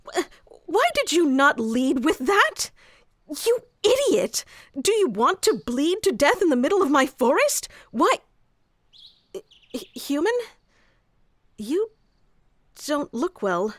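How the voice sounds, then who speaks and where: A haughty young elf maiden of the deep forest, acted by a woman for a fantasy audio roleplay. Her voice is high and she takes her time over old-fashioned phrases, prickly and prone to getting flustered. The sound is very dry, with no room around it. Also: big pitch swings, takes it slowly, pauses often, no echo, full character voice. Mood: alarmed, worried. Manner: prickly tsundere, archaic wording, stammers when flustered. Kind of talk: scripted fantasy ASMR roleplay acting.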